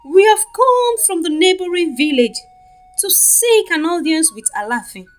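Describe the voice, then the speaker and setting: A woman's voice: very high voice, animated, normal speed, soft background music, voice pushed up. A woman voicing a humble, desperate male messenger in a folktale, pleading with palace guards. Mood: respectful.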